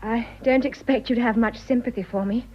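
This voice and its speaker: An actress playing an earnest, defiant young wife in love, speaking simply and resolutely in a radio drama. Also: female voice, on the high side, fairly even intonation, brisk, slightly rough, muffled recording. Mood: candid, unhappy. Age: young adult.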